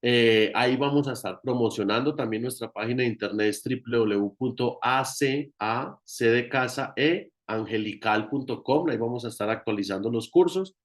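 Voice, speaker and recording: A man's voice: mid-range voice, normal speed, hardly any pauses. A man with a Colombian accent giving an informal, emphatic teaching talk as a guest therapist, often repeating phrases for emphasis.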